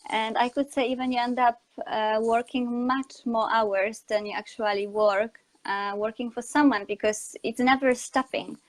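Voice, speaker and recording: A woman with a fairly high voice and a Polish accent. She is a communication coach from Poland, a native Polish speaker, answering in a conversational interview style. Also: steady tone, normal speed, few pauses, low-quality recording. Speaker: interview guest, communication coach and online educator.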